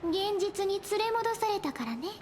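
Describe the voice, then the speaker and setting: A woman's voice: very high-pitched, sing-song, fast talker, slight background noise. A woman playing a playful, chirpy young girl guide in an anime game, bubbly and teasing. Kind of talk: Japanese anime game voice acting. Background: loud game music.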